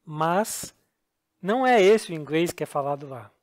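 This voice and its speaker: High-voiced Brazilian man telling stories. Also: lively intonation, measured pace. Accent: Brazilian Portuguese. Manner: earnest, explanatory, self-deprecating. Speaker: podcast co-host. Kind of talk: casual storytelling chat.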